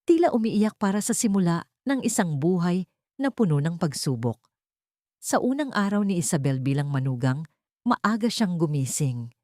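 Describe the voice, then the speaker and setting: A woman's voice: fairly low pitch, a little mellow, no echo, studio quality. A woman reading a scripted dramatic story as an audiobook narrator.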